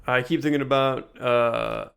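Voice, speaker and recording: A man's voice: mid-range voice, steady tone, a little slow, creaky, fairly bright tone, smooth. A man co-hosting a casual podcast, talking with dry, sardonic exaggeration. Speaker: podcast co-host. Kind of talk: casual behind-the-scenes podcast chat.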